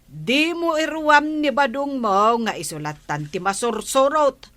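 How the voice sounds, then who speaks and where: Casting: nosy village neighbour, radio comedy, Ilocano accent, very animated pitch, drawn-out slow delivery. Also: female voice. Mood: curious.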